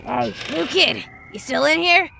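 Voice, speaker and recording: Casting: woman playing blunt post-apocalyptic fighter, forceful, gravelly edge, protective and wry, slight background noise. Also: high voice, lively intonation, unhurried, exaggerated voice. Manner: blunt, wry, plain-spoken. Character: tough, blunt, protective female wasteland survivor. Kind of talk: post-apocalyptic audio drama acting.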